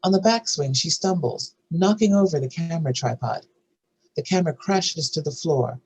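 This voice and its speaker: A woman with a husky voice narrating screenplay stage directions in a scripted reading. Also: low voice, animated, normal speed, darkish tone, poor audio.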